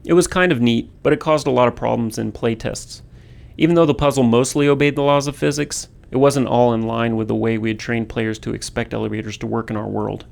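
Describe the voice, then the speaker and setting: A man's voice: medium pitch, fairly even intonation, brisk, gravelly, darkish tone. A man speaking as a game developer, narrating commentary.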